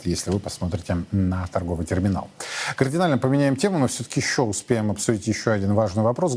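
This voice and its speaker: A man anchoring a live news broadcast in a brisk, matter-of-fact way from a studio. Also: lowish voice, a little mellow.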